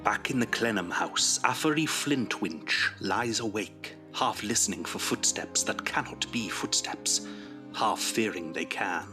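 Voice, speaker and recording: A man's voice: fairly low pitch, lively intonation, unhurried. A man giving solemn, atmospheric scripted narration for an audio drama. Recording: music clearly under the voice.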